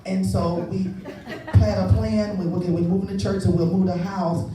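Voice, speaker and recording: A woman's voice: medium pitch, steady tone, normal speed, warm tone. A woman preaching in a conversational storytelling way, giving testimony and urging her listeners to respond. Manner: conversational storytelling with exhortations and repeated appeals to listeners. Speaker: church preacher. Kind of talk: testimonial sermon with call and response. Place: large indoor church space. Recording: echoey church hall, distant, music behind.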